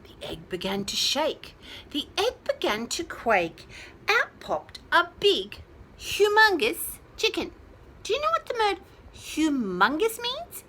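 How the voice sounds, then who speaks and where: A woman reading aloud to young children, adding chatty asides and questions to listeners, with drawn-out emphasis on key words. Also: very high-pitched, huge pitch swings, pushed and bright, a little hiss or hum. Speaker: children's storyteller. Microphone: phone held nearby.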